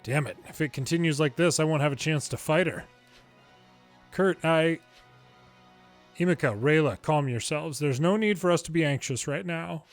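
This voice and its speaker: A man's voice: on the high side, moderate pace, long pauses, soft background music. A man reading video game dialogue aloud dramatically, acting out each character's lines in turn.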